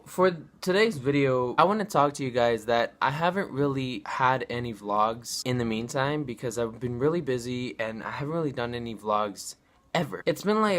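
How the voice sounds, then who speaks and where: A man's voice: medium pitch, fairly bright tone. A nineteen-year-old man talking straight to camera in a casual vlog, rambling and repeating himself in an earnest way. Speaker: vlogger.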